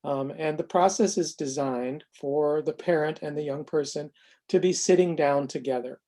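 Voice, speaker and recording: A man presenting a software demonstration in an informal, unscripted way, explaining carefully and haltingly with self-corrections. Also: on the high side. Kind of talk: informal narrated screen demo, unscripted explanation.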